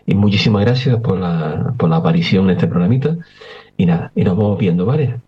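Male radio host, easygoing interviewer with a lowish voice, muffled recording. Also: expressive, fairly quick.

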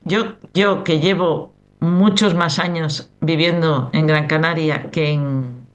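A woman's voice: low voice, steady tone, takes it slowly, dull audio. Middle-aged Cantabrian woman, chatty rambling interviewee, strong regional accent. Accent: Cantabrian Spanish. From Cantabria, Spain. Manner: rambling, conversational. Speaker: choir member, interview guest. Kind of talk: casual interview chat.

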